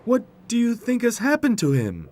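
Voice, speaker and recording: A man voicing an excitable, puzzled young talking tractor in a children's storybook. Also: very high-pitched, normal speed, pitched above the performer's own voice, heavily acted. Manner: exclaiming, bewildered.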